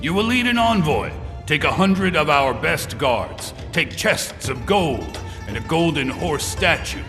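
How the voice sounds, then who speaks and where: Brooding, imperious emperor male actor for audio drama; measured delivery in a reverberant hall. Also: fairly high pitch, sing-song, full character voice. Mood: rousing, commanding. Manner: grave, imperious, deliberate. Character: proud, commanding ancient Chinese emperor, brooding and ambitious. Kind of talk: amateur audio drama acting. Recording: long hall reverb, loud music behind.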